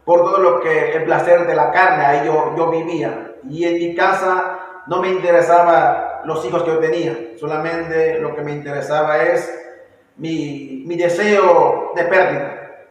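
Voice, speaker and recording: Panamanian man, 40s, earnest and halting; flat delivery, distant echoey room. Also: on the high side. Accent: Panamanian Spanish. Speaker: church member giving testimony. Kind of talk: personal testimony. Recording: echoey hall, distant mic.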